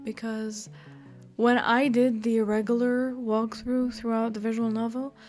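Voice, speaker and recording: A woman's voice: a little high, level tone, measured pace, darkish tone. A woman giving playful, sassy commentary as she hosts a game walkthrough, with joking asides and bits read aloud. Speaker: host of a visual novel walkthrough channel. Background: music.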